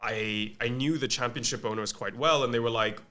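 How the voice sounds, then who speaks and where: Casting: twenties male guest, English accent, bright tone, chatty and self-deprecating. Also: mid-range voice, a little fast. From Malaysia. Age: 20s. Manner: chatty, self-deprecating, frequent ums and restarts. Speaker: guest, agency co-founder. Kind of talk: casual podcast interview.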